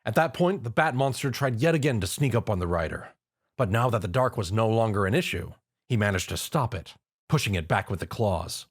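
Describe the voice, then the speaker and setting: A man's voice: fairly low pitch, lively intonation, fairly quick, breathy edge. A man reading a fan-written action story aloud as narrator. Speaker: reader.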